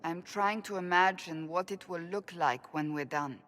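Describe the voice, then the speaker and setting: Lowish, earnest warrior woman played by a woman, reverberant. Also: normal speed, a bit bright, natural voice. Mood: reflective, earnest. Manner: grave, thoughtful. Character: earnest, devout female warrior, principled and brooding. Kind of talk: dramatic game acting.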